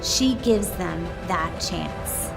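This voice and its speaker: A woman's voice: on the low side, animated, very slow, vocal fry, low-quality recording. A woman narrating a scripted tribute, read aloud from a written submission. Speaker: reader of nomination stories. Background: background music.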